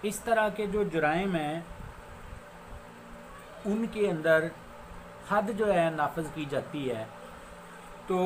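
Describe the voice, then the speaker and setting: A Pakistani man with a fairly high voice explains legal points as a lecturer. He speaks very slowly and deliberately, often restating phrases. There is faint noise behind him. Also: low-quality recording. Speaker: law lecturer, advocate. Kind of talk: explanatory law lecture mixing Urdu with English legal terms.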